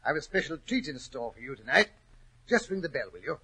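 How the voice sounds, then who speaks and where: A genial English gentleman spins his tale in 1940s radio style. His voice is husky and fairly high, with a bright edge. He is chatty and courteous, and the old recording shows its age. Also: big pitch swings, brisk, exaggerated voice. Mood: hospitable, amused.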